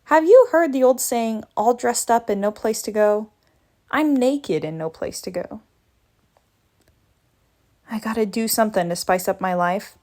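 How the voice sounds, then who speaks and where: A woman's voice: on the high side, measured pace, pauses often, a little mellow, close to an everyday voice. A woman reading aloud as a lazy, sarcastic male cartoon cat, with dry, wisecracking, grumbling lines. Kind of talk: storybook read-aloud.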